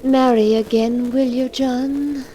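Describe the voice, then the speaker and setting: A jealous young wife on her deathbed in a 1940s radio melodrama. Her voice is fairly high, breathless and intense, with morbid possessiveness. Clear noise runs through the old recording. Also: steady tone, slow pace, mellow, rough recording. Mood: weak, possessive.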